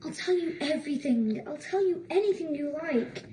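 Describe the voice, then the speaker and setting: A performer, heard as female, playing a precocious, secretive young schoolboy in an audio drama, answering in guarded, halting phrases. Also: high-pitched, animated, warm tone, a little hiss or hum. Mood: wistful, evasive.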